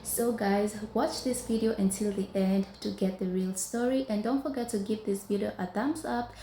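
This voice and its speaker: A woman's voice: medium pitch, fairly even intonation, moderate pace, warm tone, slight background noise. A woman opening a YouTube video in a casual, conversational way, with small restarts as she talks. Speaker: video commentator introducing the topic. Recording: roomy echo.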